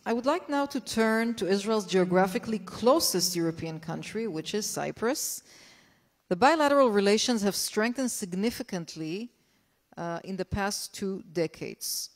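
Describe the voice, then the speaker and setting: A woman moderating a panel, formal and somewhat halting. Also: medium pitch. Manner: formal, with some halting restarts.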